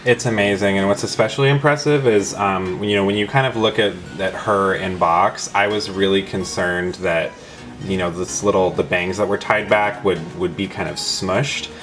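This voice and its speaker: A man's voice: deep voice, fairly even intonation, moderate pace, fairly bright tone. A man co-hosting a casual, unscripted review, speaking with enthusiastic, emphatic praise. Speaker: co-host of a toy review. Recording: music clearly behind the voice.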